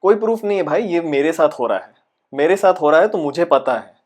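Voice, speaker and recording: Exasperated, high-pitched man acting a struggling young actor. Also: lively intonation, a little fast, a little mellow, natural voice. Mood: defensive, helpless. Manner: exasperated, ranting, insistent, with rhetorical questions. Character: frustrated, paranoid aspiring actor venting about saboteurs. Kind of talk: dramatic comic audition monologue in Hindi-English mix.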